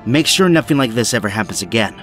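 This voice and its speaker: Audio drama tech whiz, man acting: duty-bound, mid-pitched but very varied, music clearly behind. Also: sing-song, fast talker, fairly bright tone. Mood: resolute. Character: duty-bound young male tech expert, serious, resolute.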